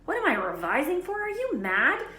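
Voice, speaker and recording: A woman's voice: sits high, wildly swooping pitch, moderate pace, pushed and bright, reverberant, pitched above the performer's own voice, heavily acted. A woman voicing a bossy, anxious, studious schoolgirl in a snappy, emphatic storybook read-aloud. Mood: indignant, snappy.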